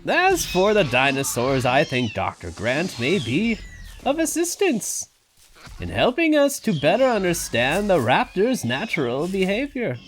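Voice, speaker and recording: A man's voice: high-pitched, wildly swooping pitch, unhurried, a little hiss or hum, exaggerated voice. A man playing a proud, stubbornly optimistic elderly park owner in an audio drama, with a theatrical delivery. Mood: measured. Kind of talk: fan audio drama acting.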